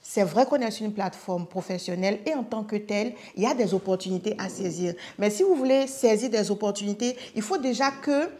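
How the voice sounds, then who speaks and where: A woman's voice: mid-range voice, brisk, hardly any pauses, mellow. A woman podcast guest explaining in an enthusiastic, conversational way, with rhetorical questions and repeated words.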